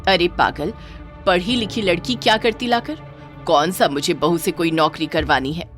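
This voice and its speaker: A woman's voice: fairly low pitch, normal speed, a bit bright, soft background music, natural voice. A woman voicing a practical middle-aged mother in a moral story, assured, motherly and matter-of-fact. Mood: firm, chiding. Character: firm, practical middle-aged mother choosing matches for her children. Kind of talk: acted dialogue in a moral story.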